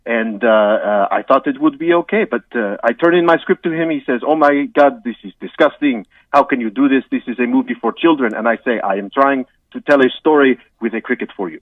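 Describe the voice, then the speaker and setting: A man doing a bumbling French film director with a heavy French accent, who gropes for words in broken English between constant "uh"s. He comes through a thin, tinny phone line that sounds set back from the mouthpiece. The voice is plain and mid-pitched, with no room sound around it. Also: a little fast, hardly any pauses, no room sound, recorded from a distance, lightly acted. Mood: aggrieved. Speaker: phone-in guest. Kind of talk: comedy sketch interview. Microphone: telephone.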